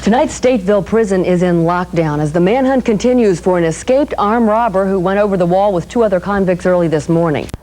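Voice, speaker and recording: A woman's voice: medium pitch, fairly quick, hardly any pauses, warm tone. A woman co-anchoring a television newscast in a scripted delivery, on a noisy eighties broadcast tape. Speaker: news co-anchor. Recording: noisy 1980s TV broadcast videotape.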